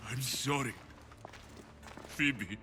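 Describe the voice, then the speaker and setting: An actor playing a grief-stricken, furious young Greek mercenary with an intense delivery in a video game drama. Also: male voice, mid-range voice, very slow, in a large hall, faint music behind. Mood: grieving. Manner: intense, forceful.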